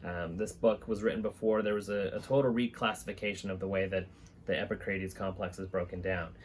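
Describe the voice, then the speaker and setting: A man's voice: low-pitched, steady tone, fairly quick. A man in his thirties hosting a hobby video, reading aloud and talking informally to camera. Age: 30s. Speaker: host of a reptile-keeping channel, reading books aloud. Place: small indoor room with reptile enclosures and a fan. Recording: clear background room noise.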